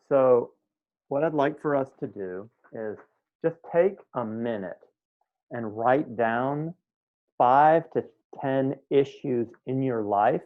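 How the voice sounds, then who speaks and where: A man teaching a meditation class in a casual, conversational way, often checking that his students follow. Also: mid-range voice. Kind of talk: live online class teaching. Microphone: video call. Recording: tinny phone-like line.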